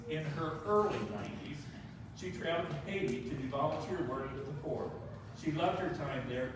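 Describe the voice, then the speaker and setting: A man reads a prepared birthday tribute, his voice a little higher than usual for a man. He stumbles and restarts words as he goes. He sounds far from the microphone in a strongly echoing room, with clear background noise. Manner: halting, stops and restarts words often. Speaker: family member reading a birthday tribute. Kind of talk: reading a prepared life story aloud. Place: birthday celebration in a large room.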